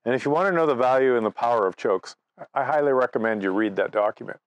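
Male presenter, sixties, mid-pitched, anecdotal and conversational, very dry acoustic. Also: quick pace, no echo, dull audio. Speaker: amateur radio presenter. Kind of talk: informal conference presentation, personal storytelling. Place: outdoors by trees at a cottage.

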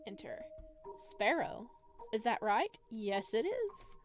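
A woman's voice: on the high side, sing-song, slow, soft background music. A woman chatting casually as she plays a game, reacting aloud and reading text from the screen. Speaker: gaming streamer, let's player.

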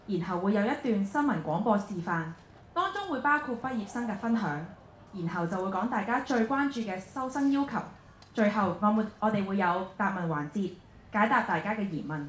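A woman's voice: mid-range voice, expressive, brisk, slight background noise. A young woman in her twenties with a Hong Kong accent, a student emcee reading a formal script in a lecture hall. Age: 20s. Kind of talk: scripted event hosting. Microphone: handheld mic, filmed from the back. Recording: roomy hall sound.

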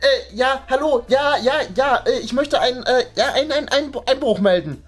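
A young man playing a cheeky, scheming boy in a children's comedy sketch, halting and stammering, with a pitched-up voice. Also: faint music behind. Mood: urgent, hesitant.

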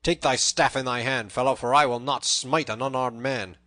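A man acts out a big blustering male outlaw from a storybook. He is loud and hot-tempered, challenging in archaic speech. The voice is pushed up and rings out forcefully. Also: on the high side, normal speed, no room sound, studio quality, voice pushed up. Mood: enraged.

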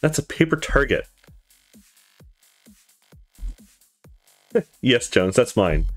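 A man's voice: mid-range voice, very animated, moderate pace, soft background music, close to an everyday voice. A man voicing a tough, curt, foul-mouthed young woman police intern in a visual novel. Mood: casual. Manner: curt, cocky, swearing.

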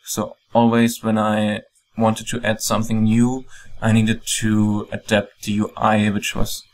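Calm German-accented man explaining software. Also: fairly low pitch, unhurried. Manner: calm, plain explaining with frequent ums. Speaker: software developer. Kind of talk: screen-recorded software walkthrough.

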